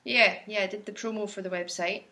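A woman's voice: mid-range voice, steady tone, a little fast, bright, forceful tone. A woman who drives and edits video for the campaign, answering interview questions in a matter-of-fact, understated way. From Scotland.

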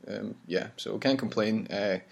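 A man with a slightly husky, low voice talks casually and at length. He rambles, restarts his sentences, and keeps things relaxed and friendly. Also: normal speed. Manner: rambling, chatty, many restarts. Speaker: campaign leader and vlog host. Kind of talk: casual chat. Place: traveling campaign van.

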